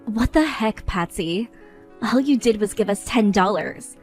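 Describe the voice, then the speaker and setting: A woman's voice: medium pitch, lively intonation, a little slow, faint music behind, lightly acted. A woman voicing an exasperated young bride who scolds others in an indignant tone.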